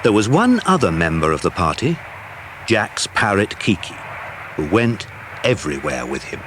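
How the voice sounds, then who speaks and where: A man's voice: lowish voice, big pitch swings, moderate pace, background noise. A man with a British accent narrating a scripted children's adventure story.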